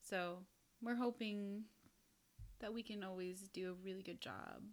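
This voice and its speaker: A woman in her thirties co-hosting a podcast with a colleague, agreeable and quick to laugh in casual chat. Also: medium pitch. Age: 30s. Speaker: podcast co-host, therapist.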